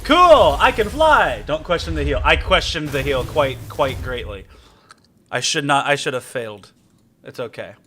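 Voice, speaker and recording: Man, high-pitched, excitable, casual gameplay commentary, playful, faint background noise. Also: normal speed. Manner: excitable, playful, talks to himself. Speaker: game streamer.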